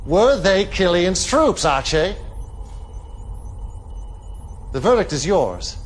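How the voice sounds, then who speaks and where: An actor playing a calm, menacing and grandiose cult leader in a science fiction game cutscene, speaking in a measured, theatrical, deliberate way. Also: male voice, high voice, exaggerated voice. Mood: stern accusing.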